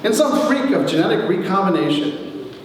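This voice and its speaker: A man giving a wry dramatic reading of his own humorous story to an audience, with theatrical pauses. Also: high-pitched, very animated. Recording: echoey hall from far off, faint noise.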